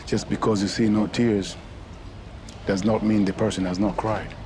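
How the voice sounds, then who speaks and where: An actor playing a calm, wise man who gently urges kindness toward others. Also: on the low side, noisy background, natural voice. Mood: gentle. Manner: gentle, measured. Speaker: film character.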